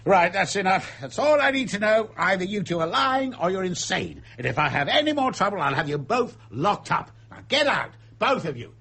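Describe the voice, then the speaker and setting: An actor playing a blustering, exasperated captain of an ocean liner in a radio drama, authoritative in manner. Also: male voice, high-pitched, sing-song, moderate pace, few pauses, sharp tone, breathy edge, heavily acted. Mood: angry, impatient. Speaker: ship's captain.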